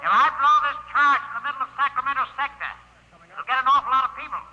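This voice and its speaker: A menacing male rebel leader comes through an intercom with a very high, piercing voice. He is boastful, volatile and taunting, in the manner of old radio drama. The sound is dull and swims in long reverb. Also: fast. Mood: threatening. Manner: taunting, threatening. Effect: voice over an intercom speaker. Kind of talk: mid-century radio drama acting.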